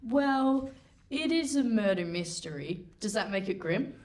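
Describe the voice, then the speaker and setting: A woman's voice: mid-range voice, lively intonation, a little slow, darkish tone, close to an everyday voice. A woman playing a naive, literal-minded co-presenter in a live radio play. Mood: puzzled.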